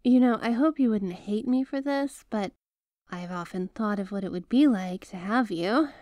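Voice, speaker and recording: A woman's voice: medium pitch, a little mellow, no room sound, lightly acted. A woman playing a doting, bashful yet possessive young mafia heiress in an intimate ASMR roleplay, speaking to the listener in a hesitant, coaxing way. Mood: earnest, lovestruck. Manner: hesitant, coaxing, affectionate, halting phrasing.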